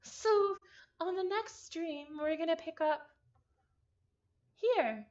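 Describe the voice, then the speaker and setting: A woman livestreaming a visual novel, chatting casually and reading game text aloud in an excitable, halting way, often repeating phrases. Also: extremely high pitch. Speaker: streamer playing a visual novel. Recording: dull lo-fi stream audio.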